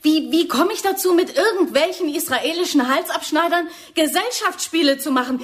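A woman's voice: extremely high pitch, lively intonation, moderate pace. An actress playing a defiant, theatrical young woman recruit in a radio drama, impulsive and vulnerable. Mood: furious. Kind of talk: German radio drama acting.

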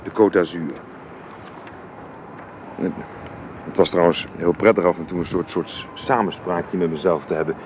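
Man playing middle-aged father, lowish voice, wistful, affectionate, halting delivery, radio drama, slightly noisy recording. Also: fairly even intonation, muffled recording, close to an everyday voice. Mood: wistful, reflective. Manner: hesitant, repeating words as he searches. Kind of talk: naturalistic radio drama acting.

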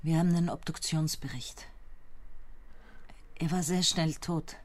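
A woman's voice: deep voice, steady tone, unhurried. Cool, firm a female investigator. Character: female police investigator, calm and dry. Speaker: narrator and police investigator. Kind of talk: German radio drama acting with first-person narration.

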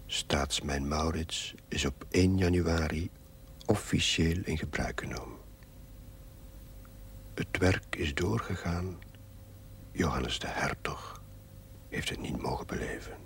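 A wistful diarist narrates a television drama in a very low voice. He speaks slowly with a gravelly fry, reflective and self-deprecating, over faint rumbling noise. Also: in a whisper, heavily acted. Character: reflective man reading his diary aloud, wistful, self-deprecating.

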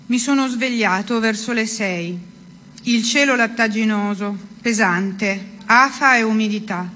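A woman's voice: on the high side, measured pace, bright, forceful tone. A woman giving a dramatic literary reading of first-person prose. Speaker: reader of a literary text.

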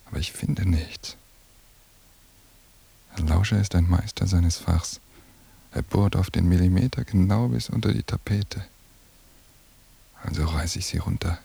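An actor playing a paranoid, obsessive surveillance man in a radio drama monologue, brooding in a gravelly, hushed half-whisper. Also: low-pitched, measured pace, pauses often, exaggerated voice.

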